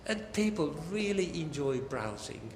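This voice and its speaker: Guy giving a formal academic lecture, slightly high voice, big echoey hall, bit of hiss. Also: big pitch swings, measured pace. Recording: echoey hall, long reverb, faint noise.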